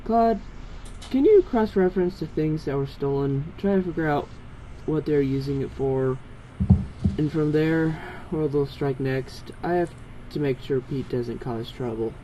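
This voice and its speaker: A man with a soft-edged, mellow voice reads a fan story aloud, moving between narration and dialogue. He trips over longer words now and then. The amateur recording has faint noise behind it. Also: fairly high pitch, unhurried, rough recording. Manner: stumbles over long words. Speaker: fan fiction reader.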